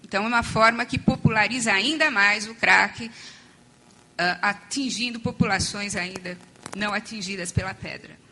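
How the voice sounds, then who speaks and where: A woman with a hoarse voice, close to losing it, gives a conference talk on treatment, explaining step by step with frequent fillers and restarts. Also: mid-range voice, moderate pace, bright, forceful tone, poor audio. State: losing her voice. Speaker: conference panel speaker on addiction treatment. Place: conference hall.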